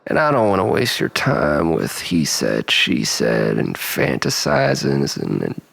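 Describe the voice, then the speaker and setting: Man acting as a gravelly, brooding, remorseful lover. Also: very deep voice, huge pitch swings, a bit bright, full character voice. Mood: giddy, hopeful. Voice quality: gravelly, breathy, often near whisper. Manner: halting, rambling, nervous self-corrections and sighs. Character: troubled, remorseful, obsessive man pleading with lost lover. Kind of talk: dramatic confessional monologue.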